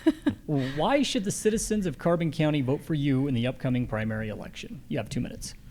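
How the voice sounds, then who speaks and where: A man's voice: a little high, animated, brisk, darkish tone, slight background noise. An American man moderating a candidate forum, putting questions to the speakers and keeping time. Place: live candidate forum on a college campus.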